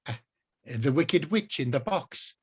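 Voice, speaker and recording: A jumpy Dark Ages wizard, as a man puts him on in a storybook reading. He is bewildered and dramatic, his archaic speech pitched well above the reader's own voice and rising and falling widely. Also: animated, slow, slightly rough, exaggerated voice. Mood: puzzled.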